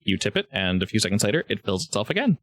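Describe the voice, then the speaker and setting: A man's voice: on the low side, big pitch swings, very fast, fairly bright tone, dry recording. A man improvising narration as game master of a tabletop roleplaying game, informal and joking with the players.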